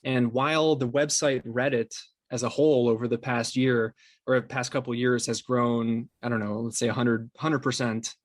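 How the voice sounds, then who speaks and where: A man answering interview questions conversationally as a guest, explaining things with repeated words and trailing pauses. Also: medium pitch, fairly even intonation, no room sound, clean studio sound. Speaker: interview guest, podcast co-founder and sound designer.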